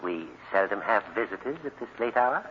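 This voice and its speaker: An actor playing an eccentric, eerie museum night watchman with slow, hushed, deliberate delivery in an old radio drama. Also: lowish voice, expressive, muffled recording, natural voice. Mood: wary. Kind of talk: 1940s radio acting. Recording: noisy, band-limited old radio recording.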